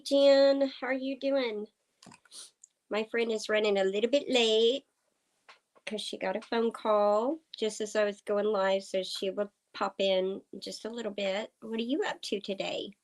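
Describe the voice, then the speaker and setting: A woman from Oklahoma hosting a casual livestream chat with viewers. Her voice is slightly hoarse. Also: a little high, fairly even intonation, darkish tone. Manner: slow, chatty, talking to viewers. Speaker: livestream host. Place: farm home indoors. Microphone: computer streaming setup.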